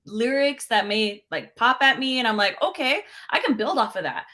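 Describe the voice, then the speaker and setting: A young woman chats as a singer-songwriter guest on a talk show. Her voice is bright and sits a little high, and she talks in a bubbly, friendly way with frequent laughs. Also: lively intonation, normal speed. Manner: bubbly, chatty, laughs often. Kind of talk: casual interview chat.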